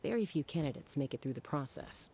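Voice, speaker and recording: A woman playing a composed, caring warrior of a flying tribe in a video game, explaining things in a measured, reassuring way. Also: low voice, lively intonation, a loud crowd. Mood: explanatory. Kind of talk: video game character acting.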